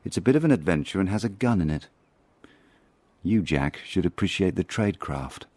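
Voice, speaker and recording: A man's voice: low-pitched, lively intonation, normal speed, darkish tone, no echo. An actor with an English accent playing an urbane spy narrating his memoir in a radio drama, articulate, wry and confiding.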